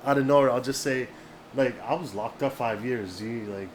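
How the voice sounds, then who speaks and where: A man in his thirties, a rapper guest, talking in a relaxed, low-key way that trails off softly in casual interview chat. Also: moderate pace, slight background noise. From Canada. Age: 30s.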